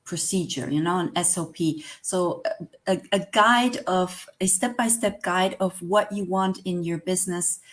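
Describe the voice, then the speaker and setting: A woman's voice: on the low side, unhurried. A Spanish woman in her forties, speaking English with a Spanish accent as an interview guest, hesitant and searching for words with many fillers. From Spain. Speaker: guest, online business manager. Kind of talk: casual interview chat.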